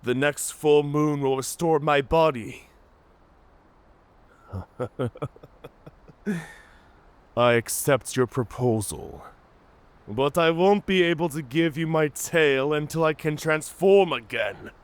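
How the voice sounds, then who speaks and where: Slow-talking, sardonic male werewolf. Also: on the high side, clear, resonant tone. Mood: wary, bargaining. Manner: theatrical, sardonic, bantering. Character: wounded, wry, talkative male werewolf in human form. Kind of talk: cinematic audio roleplay acting.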